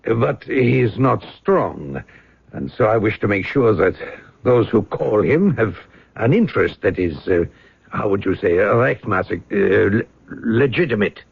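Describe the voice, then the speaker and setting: An actor playing a formal, probing, faintly sinister German man in a radio mystery, with a German accent and clipped, deliberate phrasing. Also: fairly low pitch, very animated, vocal fry, husky, no room sound, exaggerated voice. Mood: smoothly persuasive. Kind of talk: radio drama acting. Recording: dull, dry radio drama recording.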